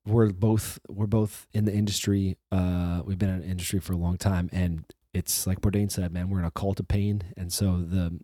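A man with a low, mellow voice hosts a podcast in a loose, rambling way, restarting sentences and swearing freely. His voice is slightly hoarse from a recent flu, and the recording is dry and close. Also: level tone, moderate pace, hardly any pauses, no echo. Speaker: podcast host. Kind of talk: casual solo intro monologue.

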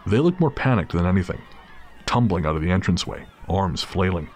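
A man's voice: low-pitched, very animated, a little fast. A man narrating a scripted dramatic story as the narrator of an audio drama. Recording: clear background noise of city ambience.

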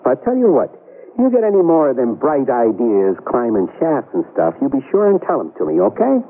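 An actor playing a gruff working-class security guard who talks bluntly and sarcastically in slang. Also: male voice, medium pitch, huge pitch swings, brisk, slight background noise. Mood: gruff, sarcastic. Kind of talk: old-time radio drama acting. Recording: tinny, lo-fi radio broadcast.

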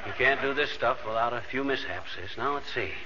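An actor playing a folksy, boastful, worn-out handy neighbour, wisecracking and huffing in a 1940s radio comedy. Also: male voice, medium pitch, a little fast, natural voice. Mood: busy, boastful. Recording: muffled, noisy old radio recording.

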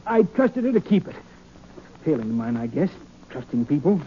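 An actor playing a nervous, defensive politician under suspicion in a 1940s radio drama, speaking hesitantly. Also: male voice, fairly high pitch, sing-song, normal speed, a little hiss or hum. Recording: dull old radio recording.

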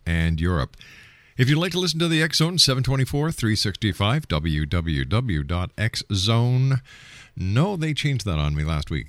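A man's voice: fairly low pitch, fairly bright tone, dry recording. A man hosting a radio talk show, introducing and interviewing.

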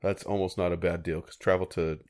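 Male co-host, lowish voice, relaxed and amused, chatty delivery. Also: brisk. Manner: easygoing, amused. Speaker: podcast co-host. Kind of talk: casual chat.

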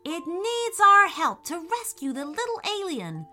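A woman voicing a kindly, adventurous young boy in a children's storybook, with an excited, encouraging manner. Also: extremely high pitch, measured pace, faint music behind, pitched above the performer's own voice, heavily acted. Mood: thoughtful, excited. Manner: excited, reassuring.

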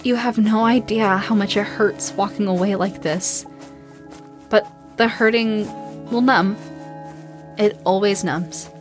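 An actress playing a hardened, grieving and self-blaming survivor in a post-apocalyptic audio drama, raw and emotional. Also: female voice, medium pitch, fairly even intonation, slow, faint music behind, lightly acted. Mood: sad, resigned. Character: grief-stricken, hardened female survivor, self-blaming and guarded.

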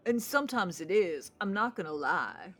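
An actress playing a candid, neurotic single woman in naturalistic audio drama, expressive and opinionated. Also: lowish voice, moderate pace. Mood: candid, wry.

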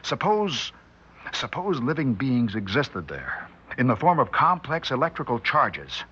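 An actor playing a grave, troubled doctor of science, probing and deliberate, in a husky 1960s radio drama voice. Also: male voice, mid-range voice, big pitch swings, bright, forceful tone, full character voice. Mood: intense speculation. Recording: dull vintage 1960s radio broadcast.

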